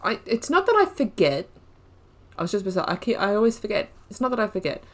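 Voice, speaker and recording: A woman's voice: medium pitch, sing-song, fast talker. A woman giving rambling gameplay commentary, thinking aloud with frequent fillers. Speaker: gaming YouTuber.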